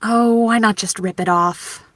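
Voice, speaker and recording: A woman's voice: mid-range voice, fairly even intonation, a little slow, a bit bright, no echo, clean studio sound, natural voice. A woman playing a flippant, theatrical young writer with a playful, sardonic manner in an audio drama. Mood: casual, wry. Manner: sardonic, playful, mock-grandiose.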